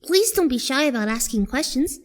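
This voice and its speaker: A woman's voice: sits high, animated, brisk. A woman voicing a kind human child who narrates politely and sincerely in a cartoon fandub. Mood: earnest, friendly. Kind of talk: first-person fandub narration.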